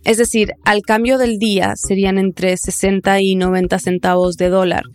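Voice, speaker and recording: A woman hosting a news podcast, narrating in a clear, explanatory way and asking follow-up questions. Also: medium pitch, little pitch movement, moderate pace, soft background music. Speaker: podcast host.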